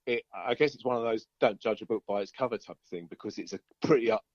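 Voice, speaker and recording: A man chatting informally as an interviewed musician, halting and circling back over his phrases. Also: on the low side, a little mellow, no room sound, highs cut off. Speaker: band singer-guitarist being interviewed. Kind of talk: casual interview chat.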